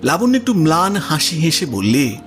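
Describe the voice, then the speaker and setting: A man's voice: on the high side, very animated, normal speed. A man narrating a scripted literary story as the narrator of an audio drama. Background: music.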